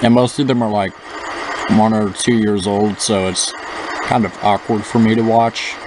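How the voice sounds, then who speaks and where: A young man hosts his gaming channel in a low, easygoing voice. He rambles through casual commentary full of ums and restarts. A steady simulated engine drone sits clearly behind his voice. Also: moderate pace. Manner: rambling, many fillers and restarts. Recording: noisy with engine sounds from the game. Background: simulated bus engine noise.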